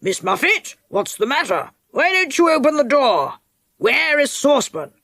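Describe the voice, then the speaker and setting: Woman putting on a sharp-voiced worried fellow. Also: sits high, very animated, normal speed, no room sound, clean studio sound, full character voice. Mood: urgent. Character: concerned male storybook magical fellow.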